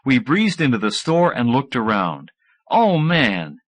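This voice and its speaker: A man's voice: medium pitch, wildly swooping pitch, a little slow. A man reads scripted fiction as an audiobook narrator, shifting into character voices. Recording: 1980s audiobook cassette recording.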